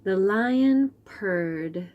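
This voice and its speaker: A woman storyteller reading picture books aloud to young children, gently and with wonder, pausing to chat to the listeners. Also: mid-range voice, animated, narrow, tinny audio. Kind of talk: expressive picture-book read-aloud with asides to young listeners.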